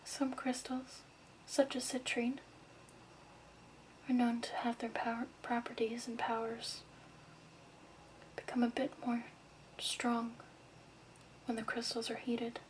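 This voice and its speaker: Slow, soft, whispery woman in ASMR. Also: a little high, completely flat delivery, slight background noise, rough recording. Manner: slow, gentle, instructive. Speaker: ASMR performer playing a crystal shop keeper.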